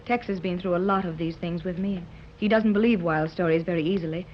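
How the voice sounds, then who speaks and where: An actress playing an earnest, hopeful wealthy mother, spoken clearly in the style of a 1930s radio serial. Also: on the low side, fairly even intonation, fast, lightly acted. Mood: hopeful, excited. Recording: dull, noisy 1930s radio recording.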